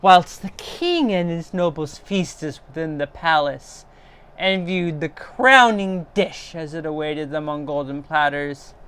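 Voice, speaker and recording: A woman narrating a horror story in a theatrical, deliberate way, swelling in intensity as a livestream storyteller. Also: low voice, a bit bright, clear, resonant tone. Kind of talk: dramatic horror story reading.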